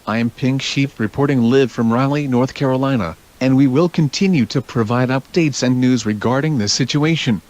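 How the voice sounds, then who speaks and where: A man's voice: medium pitch, normal speed, hardly any pauses, darkish tone, close to an everyday voice. Man playing a serious male news reporter.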